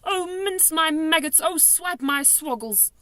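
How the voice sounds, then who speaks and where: A woman voicing a gentle, excitable old male giant who mangles his grammar and invents words. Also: sits high, moderate pace, dry recording, voice pushed up. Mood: anguished. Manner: jumbled grammar, made-up words.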